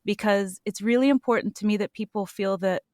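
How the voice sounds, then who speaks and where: A woman's voice: mid-range voice, brisk, no echo. Earnest woman voice actor, dry close mic. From United States. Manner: earnest, animated, laughs often. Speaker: voice actor guest. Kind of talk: interview answers.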